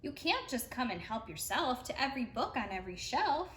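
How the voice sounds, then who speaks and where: A woman's voice: high-pitched, sing-song, a little fast, a bit bright, roomy sound. A woman voicing a brave, strict young female rabbit from a storybook, with a bold, firm manner. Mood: stern.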